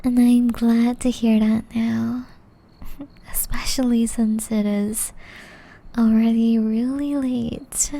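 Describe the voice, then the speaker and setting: Woman playing a gentle witch in breathy whispers. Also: fairly high pitch, flat delivery, exaggerated voice. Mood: tender, soothing, then teasing, playful. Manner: slow, tender, intimate delivery. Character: gentle young witch, tender, caring, shyly in love. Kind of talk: whispered ASMR romance roleplay.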